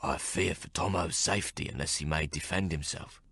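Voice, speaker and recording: A man voicing a calm, gravelly-voiced sailor who speaks in a formal, grave way. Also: a bass voice, normal speed, dry recording, studio quality, heavily acted.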